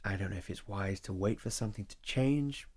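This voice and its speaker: A man playing a guarded, solitary lover in an audio drama, speaking in a measured, reserved, matter-of-fact way. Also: lowish voice, a little mellow, natural voice. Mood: subdued, resigned. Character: guarded, solitary man, ambivalent about love.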